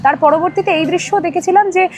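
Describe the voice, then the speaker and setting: A woman with a high voice and a Bengali accent speaks as a politician in an interview. She is emphatic and builds long sentences that circle back and repeat key phrases. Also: fast, very noisy. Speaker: political party leader, interview guest.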